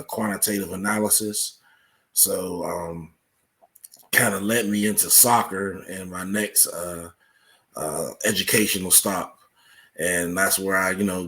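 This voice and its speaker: A man speaking as an interview guest, explaining things conversationally in a measured way. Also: on the low side, fairly bright tone. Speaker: podcast guest, researcher.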